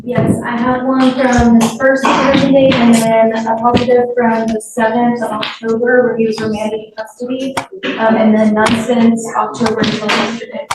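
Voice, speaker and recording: A woman's voice: a little high, little pitch movement, moderate pace. A woman working as a court officer, giving a factual report of records in a courtroom. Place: indoor courtroom. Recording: very echoey, distant, heavy noise.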